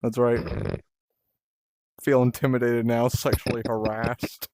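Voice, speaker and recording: A man's voice: mid-range voice, level tone, takes it slowly, a little mellow, dry recording. A man in casual gaming banter with friends, sweary and full of mock threats.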